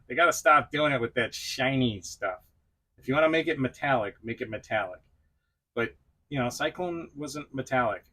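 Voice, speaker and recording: Bright-voiced man chatting casually. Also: medium pitch. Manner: chatty, self-deprecating, quick to chuckle. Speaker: toy review channel host. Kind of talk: casual vlog-style review to camera.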